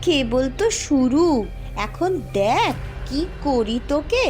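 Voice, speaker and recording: A brave young cartoon princess, voiced by a woman, speaking in a high voice. She is commanding and dramatic, with music playing clearly behind her. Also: sing-song, slow pace, a little mellow, exaggerated voice. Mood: defiant, commanding. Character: bold young village princess, brave eldest sister. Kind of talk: cartoon voice acting.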